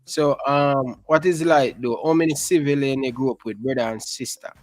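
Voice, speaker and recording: A Jamaican man hosts a casual online interview with lively energy and patois slang. His voice sounds close and dry, with faint music in the background. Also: mid-range voice, moderate pace, dry recording. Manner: energetic, hypes viewers with patois phrases.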